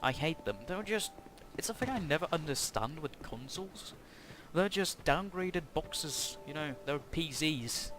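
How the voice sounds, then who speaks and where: A man from Norway, talking in a halting, self-conscious way as he gives casual commentary over a video game. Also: on the high side, animated, music in the background. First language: Norwegian. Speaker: gaming channel host. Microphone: cheap headset mic. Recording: cheap headset mic with loud background noise.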